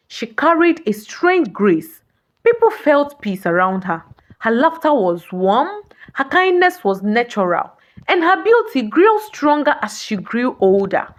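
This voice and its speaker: Expressive higher-voiced woman telling a folktale. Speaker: folktale narrator. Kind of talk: dramatic scripted storytelling narration.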